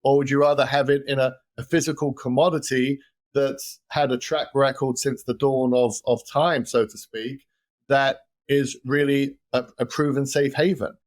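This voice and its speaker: A man with a London accent, talking as a guest in an interview with lively energy, often repeating words as he starts a sentence. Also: mid-range voice, normal speed, no room sound. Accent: London English. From London, England. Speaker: guest, gold investment expert. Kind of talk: casual interview talk.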